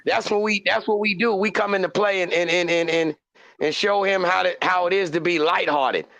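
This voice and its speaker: A man's voice: sits high, fairly even intonation, moderate pace, vocal fry, sharp tone, no echo. A man who hosts a podcast, teasing and joking with his guests in casual banter. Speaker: podcast host. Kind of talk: casual chat.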